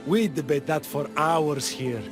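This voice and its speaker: A man acting a genial symposium host from a game, sociable and welcoming. His voice is fairly high for a man. Music plays clearly behind him. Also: a little slow, close to an everyday voice. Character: smooth, welcoming male host of a devotees' symposium. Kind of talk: video game cutscene acting.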